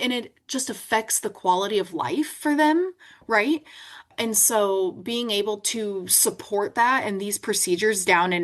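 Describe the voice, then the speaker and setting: A woman's voice: medium pitch, a bit bright. Chatty young woman guest. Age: 20s. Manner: chatty, frequent "right?" and "like". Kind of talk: interview conversation.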